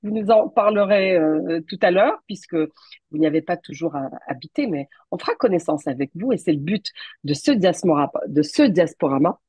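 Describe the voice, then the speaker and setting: A woman hosting an interview show, speaking in a measured, polite way with some fillers and restarts. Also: lowish voice, expressive, darkish tone, husky, no echo. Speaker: show host.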